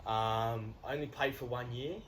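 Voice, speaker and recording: A young man, born in New Zealand and raised in Sydney, working through stories in fits and starts. His voice sits at an ordinary pitch, and clear background noise runs under it the whole time. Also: fairly even intonation, normal speed, a bit bright. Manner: hesitant storyteller, many ums and restarts. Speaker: young rugby player. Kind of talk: casual interview chat.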